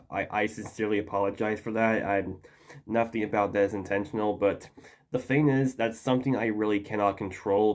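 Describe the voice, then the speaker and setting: Youthful-sounding young man chatting. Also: fairly low pitch. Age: early 20s. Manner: chatty, apologetic asides. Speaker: YouTuber host. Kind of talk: casual video commentary.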